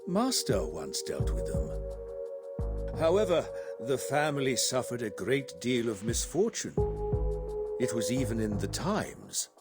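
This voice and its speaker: A man's voice: mid-range voice, very animated, measured pace. A man playing a courteous, discreet butler in a video game, speaking in a formal, deferential way. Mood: grave, informative. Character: courteous, discreet butler serving his master. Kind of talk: scripted video game voice acting. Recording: loud background music.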